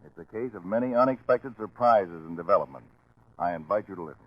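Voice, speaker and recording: A man's voice: deep voice, quick pace, dull audio. A man reading formal scripted narration as presenter of a 1940s radio crime show. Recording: faintly noisy 1940s radio recording.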